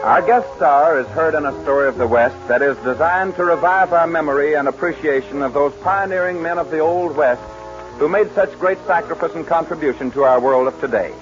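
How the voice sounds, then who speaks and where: A man announcing and narrating a 1940s western radio show in formal, grandiose showman phrasing. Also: fairly high pitch. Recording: dull 1940s radio recording.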